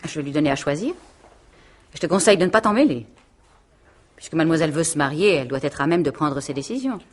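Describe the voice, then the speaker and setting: An actress playing a domineering, cold aunt in a radio mystery play, with an imperious, cutting and sarcastic manner. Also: female voice, low-pitched, expressive, fast talker. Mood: stern. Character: domineering, cold aunt who rules the household.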